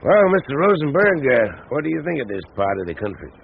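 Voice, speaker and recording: An actor playing a plain-spoken, aging ranch boss with a gruff, husky American voice in a radio drama. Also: male voice, medium pitch, sing-song, fast, a little hiss or hum. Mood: brusque, questioning. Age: older. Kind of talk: naturalistic radio drama acting. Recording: tinny narrow-band radio sound.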